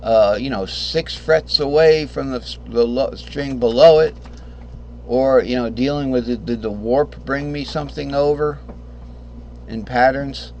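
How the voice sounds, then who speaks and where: A man teaching a casual guitar lesson, hoarse because he is losing his voice, who rambles, corrects himself and often clears his throat. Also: medium pitch, measured pace, a little mellow, muffled recording, a little hiss or hum. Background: guitar playing.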